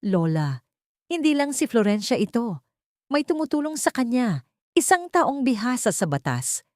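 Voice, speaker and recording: A frightened, worn-down man, played by a woman narrator, speaks anxiously and pleadingly in a family melodrama. The pitch is lifted and moves about widely, in a dry recording. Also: big pitch swings, normal speed, darkish tone, dry recording. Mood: anxious, hopeless. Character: weary, frightened grown man, losing hope. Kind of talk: dramatic audio drama dialogue.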